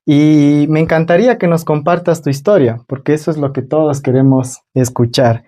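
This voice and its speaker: A man's voice: on the high side, fairly even intonation, moderate pace, warm tone. A man hosting a conversational interview on his own channel, welcoming a guest and asking questions.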